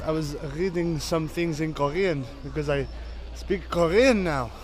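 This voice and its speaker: A man's voice: on the high side, measured pace, over music. A man talking to the camera in a casual travel vlog, chatty and playful. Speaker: travel vlogger.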